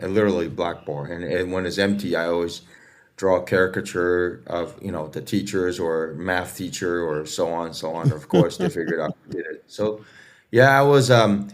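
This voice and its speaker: Deep-voiced Taiwanese-accented man. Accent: Taiwanese Mandarin. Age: 50s. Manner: halting, repeats words, loose grammar. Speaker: guest. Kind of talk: casual interview storytelling.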